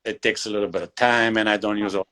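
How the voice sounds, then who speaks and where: Man, forties, from Bulgaria, English, deep voice, halting with lots of fillers, video call. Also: steady tone, pushed and bright. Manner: hesitant, many fillers and pauses. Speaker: guest leatherworker and shoemaker. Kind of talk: casual interview over video call.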